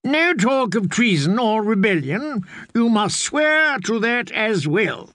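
A man performs a cautious lord for an audiobook. He sounds insistent and formal, with a high, lifted pitch and slow delivery. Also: sing-song, fairly bright tone, no room sound, clean studio sound, exaggerated voice. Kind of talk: dramatic audiobook character voice.

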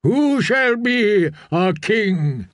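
Male reader as commanding prophet priest, fantasy audiobook, fervent preaching, slow delivery, sweeping pitch. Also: lively intonation, no room sound, studio quality. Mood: fervent, proclaiming. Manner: solemn, incantatory, preaching oratory. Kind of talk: dramatised fantasy audiobook reading.

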